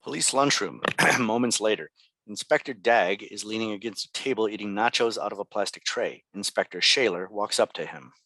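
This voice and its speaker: A man narrates a script at a table read, reading out the scene directions. His voice is slightly low and recorded close and dry, with very little room sound. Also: normal speed, pushed and bright, dry recording. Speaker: stage directions reader.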